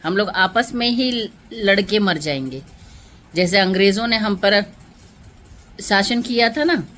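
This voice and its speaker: A woman's voice: medium pitch, expressive, measured pace, fairly bright tone. A woman vlogger delivering an emotional political monologue to viewers, impassioned and rhetorical, repeating phrases for emphasis.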